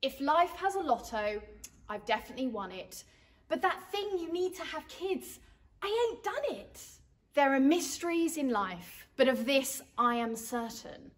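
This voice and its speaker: A cheeky, easily startled young woman in a rhyming storybook, acted by a woman. Her high voice bounces up and down as she babbles in slangy rhymes. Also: sing-song, measured pace. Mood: flustered.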